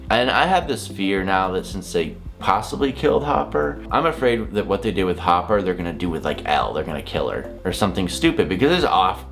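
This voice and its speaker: A man in his thirties with a low voice talks casually to camera like a video reviewer. He is blunt and exasperated, rambling through his opinions. Faint guitar music plays behind him. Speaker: reviewer, vlogger. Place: small home office, indoors.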